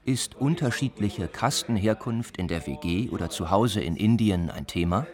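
A man reading a scripted report as a reporter, in a calm, measured way. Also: lowish voice. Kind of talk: scripted narration.

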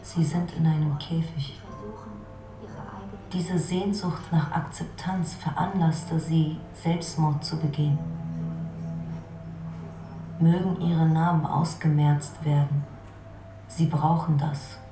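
A woman performing a scripted radio play monologue with measured, deliberate delivery. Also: low-pitched, little pitch movement. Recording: roomy echo, music underneath. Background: background music.

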